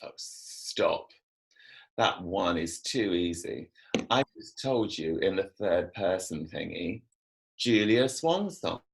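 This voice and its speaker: A flippant, theatrical male personal assistant voiced by an actor in a drama. He speaks slowly and haltingly, with wry exasperation and very lively pitch. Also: vocal fry, exaggerated voice, low-quality recording. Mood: sardonic. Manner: sardonic, halting. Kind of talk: scripted drama table read. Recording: video call.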